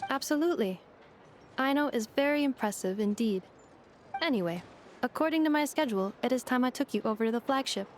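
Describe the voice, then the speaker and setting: A woman voicing a polite female robot guide in a video game, formal, measured and analytical. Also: sits high, expressive, slight background noise. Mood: composed, helpful. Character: polite, precise young female robot guide, literal and composed. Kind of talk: video game character dialogue. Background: music.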